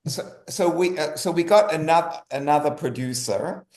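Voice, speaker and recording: A man's voice: fairly high pitch, moderate pace, darkish tone. A man speaking as an interview guest, often repeating and rephrasing himself for emphasis. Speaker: guest, writer. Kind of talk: interview conversation. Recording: roomy, echoey sound.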